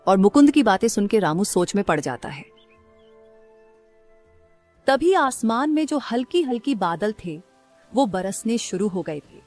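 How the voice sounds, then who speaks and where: Female narrator, mid-pitched voice, scripted storytelling, faint background music. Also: darkish tone.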